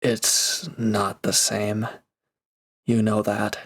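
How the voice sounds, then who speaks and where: A man's voice: fairly low pitch, little pitch movement, drawn-out words, slightly rough, full character voice. A man playing a reformed villain turned mercenary in an intimate roleplay audio drama, speaking casually and hesitantly with awkward, earnest gratitude. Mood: earnest, defensive.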